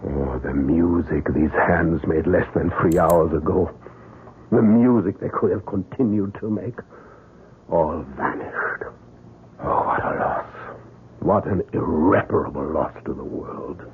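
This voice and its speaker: A man's voice: low-pitched, unhurried, slight background noise. An actor, a man, playing a grave older doctor and father in an old-time radio drama, reflective and emotive. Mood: grieving. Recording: tinny, narrow-band old radio recording.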